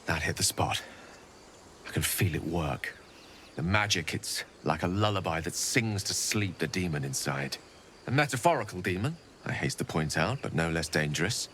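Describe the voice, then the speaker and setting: A man's voice: sits low, normal speed, slight background noise. A man voicing an erudite, wordy wizard who speaks wryly and eloquently. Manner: wry, eloquent, expressive. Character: erudite male wizard, wry and verbose.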